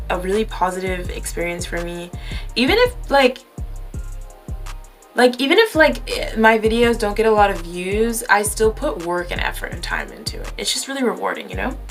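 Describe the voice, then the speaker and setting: A woman's voice: mid-range voice, sing-song, moderate pace, soft background music. A young woman around twenty, born in New York and raised in California, chatting through a casual question-and-answer vlog, rambling and correcting herself with lots of fillers. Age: 20s. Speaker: YouTuber.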